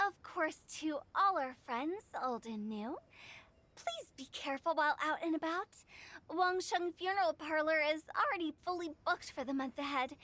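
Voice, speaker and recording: A woman's voice: high voice, a bit bright. A woman playing a playful, theatrical young funeral director in an anime game, with mischievous, flowery speech.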